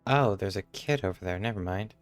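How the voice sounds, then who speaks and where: Low-voiced man casually streaming a game. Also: fairly quick, faint music behind. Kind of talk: casual live gameplay commentary.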